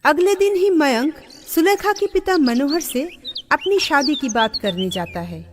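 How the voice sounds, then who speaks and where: A woman narrating a scripted moral story in an expressive storybook delivery. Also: sits high, measured pace, background noise. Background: background music.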